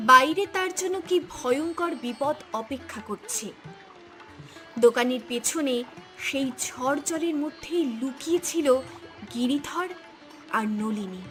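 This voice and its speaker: A female narrator tells a cartoon tale in a dramatic, scripted style. Her voice is high, and faint music plays underneath. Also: a bit bright.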